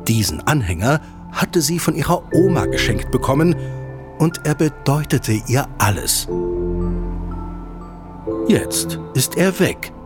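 A man narrating a scripted children's audio drama as an expressive storyteller with deliberate phrasing. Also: a little high, loud music behind the voice.

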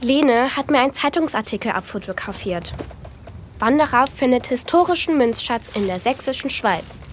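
A woman's voice: high voice, lively intonation, moderate pace, a little hiss or hum. An actress playing a young girl, a teasing and adventurous big sister, in an audio drama. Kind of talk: German audio drama acting.

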